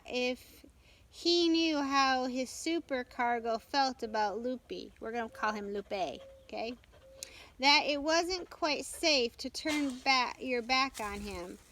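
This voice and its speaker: A woman reading a storybook aloud as narrator, carefully and haltingly, with stumbles and self-corrections. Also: sits high, fairly bright tone. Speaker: storytime reader.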